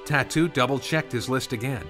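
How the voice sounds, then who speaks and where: A man's voice: mid-range voice, moderate pace. Man narrating a Christmas story over music.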